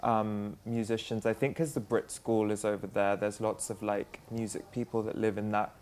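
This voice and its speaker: A young man talks casually in a conversation. His voice stays in a fairly flat, narrow pitch band. He fills his sentences with "like" and "um". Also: normal speed, slight background noise. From South London, England. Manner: chatty, full of "like" and "um". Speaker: musician guest.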